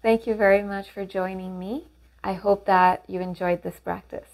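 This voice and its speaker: A woman leading a guided meditation, giving gentle, measured instructions with pauses between phrases. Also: medium pitch, steady tone.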